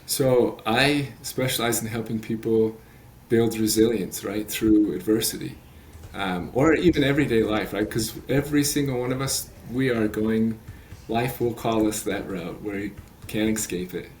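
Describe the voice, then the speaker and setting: A man in his late thirties with a lowish voice speaks earnestly, wandering through his points with plenty of fillers and restarts. A faint hiss of room ambience sits under him. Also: normal speed, a little mellow. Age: 30s. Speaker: guest, resilience coach. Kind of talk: podcast interview.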